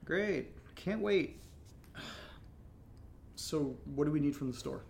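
A man's voice: takes it slowly, a little mellow. An actor playing a wary, guilt-ridden man who bottles things up, in naturalistic audio drama. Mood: wary, sarcastic. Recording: clear background hiss and low rumble.

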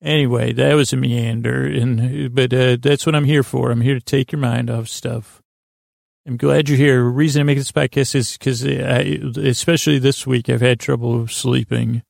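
A man hosting a sleep podcast in a meandering monologue, stumbling over words, circling back and gently goofy and self-deprecating. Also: medium pitch, steady tone, moderate pace, creaky, dry recording, studio quality. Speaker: podcast host.

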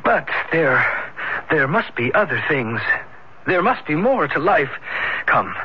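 An actor playing a sympathetic, worldly friend who soothes and gently coaxes, in an old-time radio drama. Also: male voice, fairly high pitch, very animated, slow, breathy edge, full character voice. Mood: coaxing. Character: sympathetic, reassuring male visiting friend, worldly and patient. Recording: dull, noisy 1970s radio recording.

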